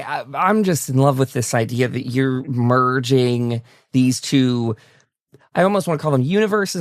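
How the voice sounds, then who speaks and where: A man hosts a relaxed interview show with an effusive, wordy delivery. His voice sits at a middling pitch with noticeable creak, recorded close and dry. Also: no echo. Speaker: talk show host interviewing a guest. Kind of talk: casual enthusiastic interview chat.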